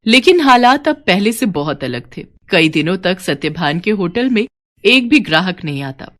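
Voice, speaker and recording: A woman reading a scripted moral story as narrator, in an expressive storytelling manner. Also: medium pitch, moderate pace.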